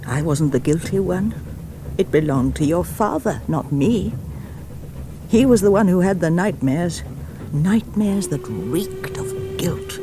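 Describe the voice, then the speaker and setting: A woman's voice: on the low side, sing-song, slow pace, background noise. An actress playing an impatient, arch English mother in a radio play, with a brittle and dismissive manner. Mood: bitter. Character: brittle, impatient upper-class English mother, teasing then bitter.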